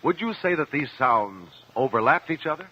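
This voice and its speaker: An actor playing a sharp, persistent defense lawyer in a vintage American radio drama, speaking formally and articulately. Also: male voice, on the high side, animated, normal speed. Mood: probing. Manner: articulate, pressing, courtroom formality. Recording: dull vintage radio broadcast.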